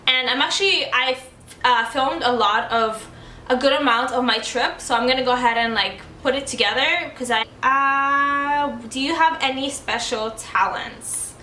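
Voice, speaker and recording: Young woman vlogging, lots of likes and ums, bright fairly high voice, some room echo. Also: moderate pace, a little hiss or hum. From born in Ukraine, raised in the United States. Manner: chatty, open, with many likes and ums. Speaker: vlogger answering tag questions. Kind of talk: casual chat to camera. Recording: echoey room.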